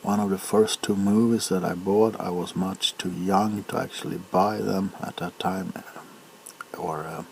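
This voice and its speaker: A man in his forties from Sweden chatting in soft-spoken ASMR style, hesitant and unhurried, often drifting into whispers. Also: low-pitched, steady tone, vocal fry. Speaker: ASMR video host. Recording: faint background noise.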